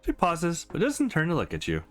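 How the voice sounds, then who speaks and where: Animated man reading a game aloud over music. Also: a little high, a little fast. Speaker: let's play host reading a visual novel aloud. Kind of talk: expressive read-aloud of game narration and dialogue. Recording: music playing behind the voice.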